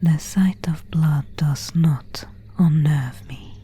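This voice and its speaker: A woman voicing an elegant vampire countess in a whispered ASMR roleplay, courteous and quietly predatory, with formal, old-fashioned diction. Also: sits low, takes it slowly, a little hiss or hum. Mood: gently solicitous. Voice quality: breathy whisper.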